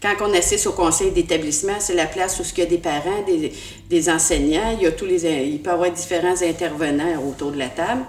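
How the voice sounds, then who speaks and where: A woman's voice: fairly low pitch, fast, a little mellow. A middle-aged woman with a Québécois French accent answers interview questions as a school board candidate, earnest and often restarting her words with "euh" fillers. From Quebec, Canada. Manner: earnest, repeats words while searching, many "euh" fillers. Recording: echoey room.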